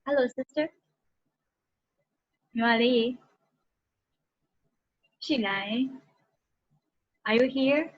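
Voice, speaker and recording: A woman's voice: high voice, very slow, pauses often. A woman hosting an online talk session, polite and hesitant, with lots of fillers. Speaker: host. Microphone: video call. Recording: dull lo-fi video call with faint noise.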